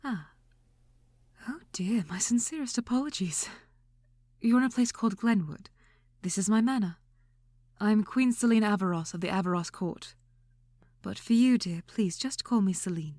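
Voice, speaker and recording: A woman's voice: mid-range voice, moderate pace, pauses often, dark tone, no room sound, clean studio sound, close to an everyday voice. A woman playing a regal, courteous, centuries-old vampire queen in an audio drama, speaking in a formal, genteel and teasing way. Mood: gracious. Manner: genteel, formal, uses endearments like dear. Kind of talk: scripted audio drama acting.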